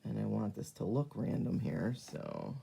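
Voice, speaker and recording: A hoarse middle-aged woman hosts a relaxed live craft stream. Her voice sits very low and sounds warm and mellow. She rambles in a friendly way with plenty of ums. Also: unhurried. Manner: chatty, many ums, greets viewers by name. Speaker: card-making demonstrator hosting a live stream. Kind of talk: casual live craft demonstration.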